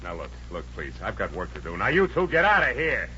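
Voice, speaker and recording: A man's voice: low voice, huge pitch swings, a little fast. An actor playing a flustered man struggling to work in a 1950s radio comedy, muttering and bursting into exasperation. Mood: harried. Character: harried, exasperated bridge designer trying to work. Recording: noisy, muffled old radio recording.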